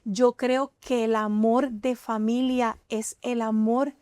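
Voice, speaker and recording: Earnest woman sharing her testimony, somewhat high. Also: little pitch movement, slow, a little mellow, dry recording, studio quality. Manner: emotional, earnest, hesitant with many fillers. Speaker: guest. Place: TV studio.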